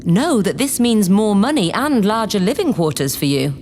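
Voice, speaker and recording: Audio drama villainess played by a woman: scheming politician, haughty and grandiose, highly animated intonation. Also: moderate pace, a little hiss or hum, natural voice. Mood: grandiose, dismissive. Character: imperious, scheming politician woman plotting to seize power. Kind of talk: theatrical audio drama acting.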